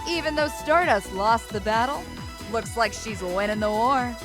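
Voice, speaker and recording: A woman performs a playful, knowing announcer for a game's episode preview. Her voice is fairly high, with music clearly playing underneath. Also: expressive, moderate pace, natural voice. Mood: playful teasing. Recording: game audio with music.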